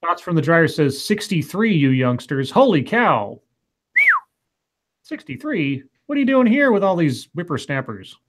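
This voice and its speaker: A man in his late thirties co-hosting a casual online chat show, reading viewer comments aloud with dry, deadpan reactions. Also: a little high, a little slow. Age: late 30s.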